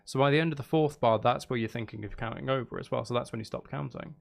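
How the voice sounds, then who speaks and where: A man's voice: medium pitch, fast talker, creaky. A man streaming casually, thinking aloud as he works things out. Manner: thinking aloud, counting and humming out rhythms. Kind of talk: casual live stream chat while composing music. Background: music playing.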